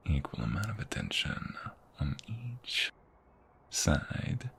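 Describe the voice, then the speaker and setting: A man playing a protective, flirtatious ex-mobster husband in an intimate ASMR roleplay, tender but menacing, with breathy murmurs and a teasing manner. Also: sing-song, takes it slowly, fairly bright tone, full character voice. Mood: flirtatious, fond. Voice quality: deep, gravelly, often breathy murmur. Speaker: voice actor.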